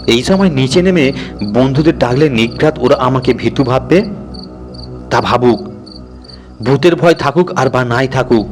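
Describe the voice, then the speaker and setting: A man voicing a colloquial raconteur who recalls a frightening night with drawn-out, dramatic suspense. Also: mid-range voice, close to an everyday voice.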